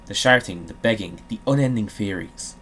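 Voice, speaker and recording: A man's voice: lowish voice, moderate pace, a bit bright, a little hiss or hum, poor audio. A man hosting a gaming video, talking casually and reading aloud dramatically, with a joking, sweary manner.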